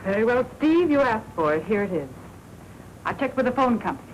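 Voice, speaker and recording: An actress playing a sharp-tongued, suspicious woman reporter with snappy, sardonic delivery in a 1940s crime film. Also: on the high side, sing-song, a little fast. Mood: accusing. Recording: noisy 1940s film soundtrack.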